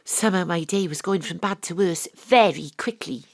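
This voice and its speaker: An actress playing a wry, world-weary Welsh private investigator, narrating and talking in an audio drama. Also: female voice, fairly low pitch, moderate pace. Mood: wry narration. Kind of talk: first-person audio drama narration and dialogue.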